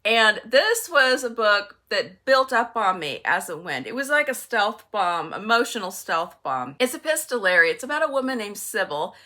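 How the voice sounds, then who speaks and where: Bright-voiced older woman, casual book vlogger. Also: mid-range voice, sing-song, moderate pace, few pauses. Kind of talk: casual chatty book vlog.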